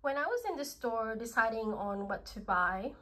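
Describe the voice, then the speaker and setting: A woman's voice: a little high, expressive, normal speed, fairly bright tone. Congested Australian woman reviewing perfumes. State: congested from a cold. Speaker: perfume vlogger. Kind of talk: casual product review.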